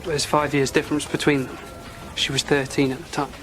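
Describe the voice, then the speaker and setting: Audio drama junior detective, played by a man: earnest, factual, higher-pitched, over clear music. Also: flat delivery, fairly quick, natural voice. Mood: earnest, hesitant. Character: baby-faced young male detective, earnest, reporting facts. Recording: music behind the voice.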